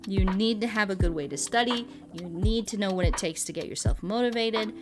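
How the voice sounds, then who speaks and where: A woman's voice: mid-range voice, brisk. A young adult woman, chatting casually and musingly as she hosts a livestream and answers viewers. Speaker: livestream host. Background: background music.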